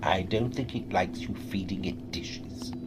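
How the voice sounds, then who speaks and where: An actor playing a sly, cajoling companion in an audio drama, theatrical and swinging between hushed and emphatic delivery. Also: male voice, low voice, unhurried, whispered. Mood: exasperated.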